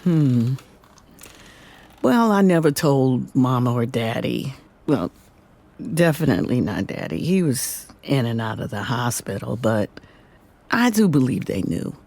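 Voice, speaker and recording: A woman's voice: deep voice, darkish tone, exaggerated voice. A woman playing a candid, wry older woman answering interview questions in an audio drama. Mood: reflective.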